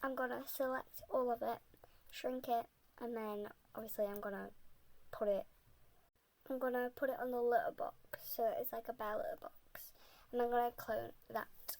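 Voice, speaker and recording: A young woman, a bit sick, rambling softly and often half-whispering in a casual voice-over about building in a game. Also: fairly high pitch, steady tone, normal speed.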